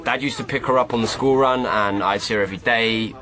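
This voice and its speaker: A man's voice: medium pitch, a little fast, a bit bright, close to an everyday voice. A man playing a sullen, defensive teenage schoolboy in a radio drama, guarded and offhand, with an English accent. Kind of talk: naturalistic radio drama dialogue.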